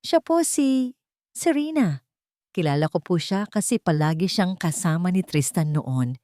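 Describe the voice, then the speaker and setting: A woman narrator plays a frightened old Filipino woman in an audio story, burdened by conscience. The voice is a little low and recorded dry. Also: lively intonation, a little slow, dark tone, dry recording. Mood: anxious confiding. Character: frightened, conscience-stricken old retired female hospital worker.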